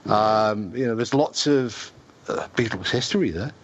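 A man's voice: on the low side, measured pace, slight background noise. A man from near Liverpool with an English accent, co-hosting a radio talk show and telling stories in a chatty way. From near Liverpool, England. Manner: chatty storyteller. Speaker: co-host. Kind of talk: casual radio chat. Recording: dull lo-fi sound.

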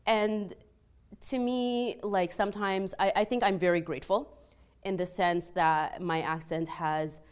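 A woman's voice: medium pitch, steady tone, measured pace, smooth. An Arabic-speaking woman from Egypt with a Canadian-influenced accent, speaking personally and earnestly on a panel, peppering her talk with "like" and checking in with "right?". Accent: Canadian-influenced with an Egyptian background. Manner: conversational and earnest, frequent 'like' and tag questions. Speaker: panel speaker, peace scholar. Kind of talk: personal testimony at a panel discussion.

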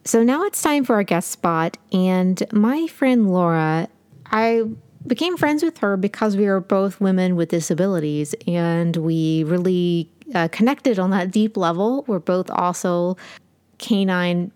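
A woman's voice: lowish voice, unhurried, a little mellow, clear, resonant tone. A woman co-hosting a podcast, chatting casually and enthusiastically about books with many fillers. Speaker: podcast co-host. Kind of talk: casual book chat.

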